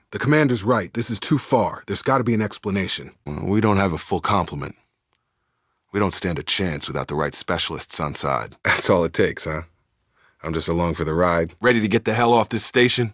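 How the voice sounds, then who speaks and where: Deep-voiced, no-nonsense space soldier. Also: male voice, a bit bright, no room sound, clean studio sound. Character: steady, no-nonsense male space soldier, loyal and guarded. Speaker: video game voice actor. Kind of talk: video game character dialogue.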